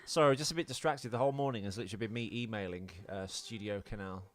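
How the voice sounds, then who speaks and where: A man's voice: on the low side, fast. Rambling man podcasting over faint music. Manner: rambling, sweary. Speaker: podcast co-host. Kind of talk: casual banter.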